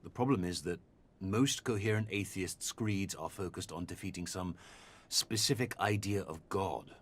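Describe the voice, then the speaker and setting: A man playing a measured, earnest researcher who speaks articulately and deliberately in a conversational audio drama. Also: sits low. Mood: measured concern. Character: measured, earnest male researcher debating philosophy.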